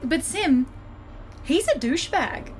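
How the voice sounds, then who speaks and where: Woman playing a high, swooping, excitable space captain. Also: takes it slowly, a little hiss or hum, low-quality recording. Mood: irreverent, cocky. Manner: gushing, sarcastic, slangy, playful. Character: excitable irreverent female starship co-captain, mischievous scientist. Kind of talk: scripted science-fiction comedy audio drama.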